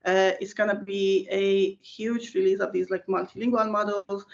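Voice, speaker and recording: A woman's voice: medium pitch, level tone, moderate pace, gravelly, muffled recording. A woman whose first language is Persian, a research scientist answering interview questions in a thoughtful way with many fillers and restarts, heard over a video call.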